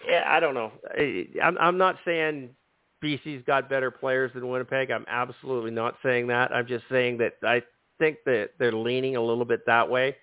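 A man hosts a casual sports podcast while he is losing his voice, so he sounds hoarse and strained. He stumbles, repeats words and restarts his sentences. The sound comes over a dry, tinny, phone-like line. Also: mid-range voice, steady tone, no echo. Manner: halting, repeats words when starting. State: losing his voice, sore throat. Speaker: podcast host.

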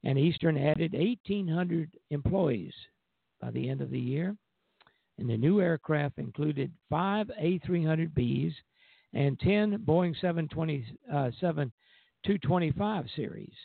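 Elderly man, heavy vocal fry, tinny phone-like sound, halting radio host, reminiscing. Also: fairly high pitch, lively intonation, no room sound. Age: 70s or older. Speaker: radio show host, retired airline pilot. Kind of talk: informal radio show narration and sign-off.

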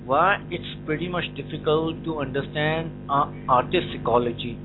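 A man's voice: a little high, moderate pace, over music. A man from Pakistan calling a radio show, speaking English with a Pakistani accent, hesitant and informal. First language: not English. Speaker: caller. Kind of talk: phone call to radio show. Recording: faint noisy phone line.

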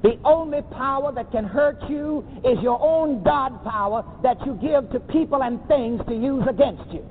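A man preaching a sermon, shouting and leading his congregation in call and response. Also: extremely high pitch, moderate pace. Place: church. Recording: tinny lo-fi congregation recording with crowd noise.

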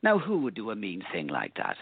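A man playing a smug, bored gentleman villain in a radio play, sarcastic and haughty, with a creaky voice. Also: lowish voice, huge pitch swings, fairly quick, dry recording, narrow, tinny audio, full character voice. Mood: defiant.

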